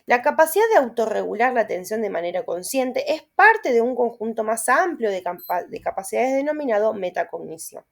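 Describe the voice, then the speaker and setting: Young Argentine woman, Rioplatense accent, higher voice, reads class notes and checks in with listeners. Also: sing-song, a little fast, gravelly, few pauses. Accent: Rioplatense Spanish. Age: young adult. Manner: explanatory, with tag questions and self-corrections. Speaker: student teacher. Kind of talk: reading lecture notes aloud with asides.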